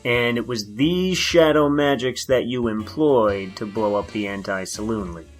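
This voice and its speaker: A man playing a dour police detective with a husky, forceful voice, needling with dry sarcasm in a noir comedy audio drama with music behind. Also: medium pitch, normal speed, natural voice. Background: background music.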